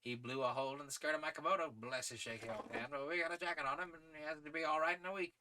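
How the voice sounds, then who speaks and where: Man putting on a bright, hearty English gentleman adventurer. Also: fairly high pitch, fairly even intonation, very fast, slight background noise, pitched above the performer's own voice. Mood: jovial, confiding. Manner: jaunty, clipped slangy upper-class phrasing.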